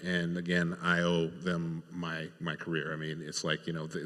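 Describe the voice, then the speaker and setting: Echoey hall, low bright-voiced chatty man. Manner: halting, self-deprecating, chatty. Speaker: television writer on a panel. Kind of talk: casual storytelling. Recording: echoey hall with long reverb.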